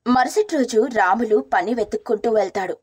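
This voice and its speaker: High-voiced woman narrating a storybook. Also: a little fast, slightly rough, no room sound. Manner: soft, caring, earnest. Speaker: narrator and wife character.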